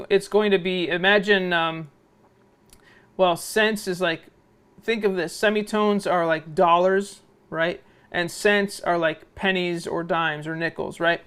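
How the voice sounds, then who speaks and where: A man's voice: sits high, a bit bright, clear, resonant tone. A man narrating an informal video editing tutorial, explaining step by step and often checking in with a short questioning tag. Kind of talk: software tutorial screencast narration.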